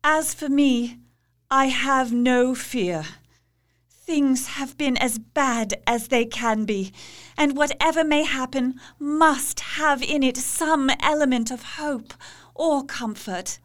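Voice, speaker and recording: An actress playing a brave but tormented young woman who speaks earnestly and slowly. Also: high voice. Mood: resolute. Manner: earnest, slow, anguished. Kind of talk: audio drama acting.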